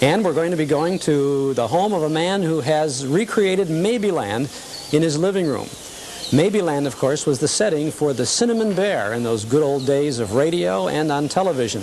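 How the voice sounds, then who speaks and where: A male TV host with a fairly high voice conducts an interview on location. Clear store noise sits behind him in an older television recording. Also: darkish tone. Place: inside a Christmas store in a shopping center. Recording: noisy on-location 1980s TV sound.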